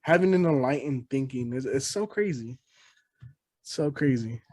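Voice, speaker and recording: A man's voice: mid-range voice, expressive, normal speed, a little mellow, no echo. A man in his late twenties hosting a casual podcast chat, easygoing and agreeable, often restarting his sentences. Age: 20s. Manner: laid-back, agreeable, rambling restarts. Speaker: podcast host.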